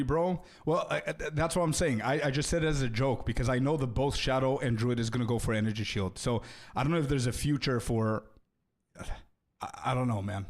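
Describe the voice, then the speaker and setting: A young man streaming a video game and chatting casually with viewers, loose and friendly, often calling them bro and repeating words as he thinks out loud. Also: medium pitch. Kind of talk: casual live-stream chat, answering viewers.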